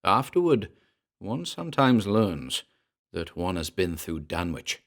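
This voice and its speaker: A man with a fairly low voice presents and narrates classic horror fiction. His delivery is scripted, measured and formal, with deliberate phrasing suited to an old literary tale. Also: very animated.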